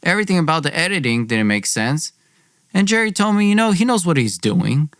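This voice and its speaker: A man's voice: a little high, big pitch swings, brisk, fairly bright tone, no room sound, clean studio sound. A young man hosting a podcast, telling a personal story dramatically in an informal, wry way, acting out dialogue. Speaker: podcast host.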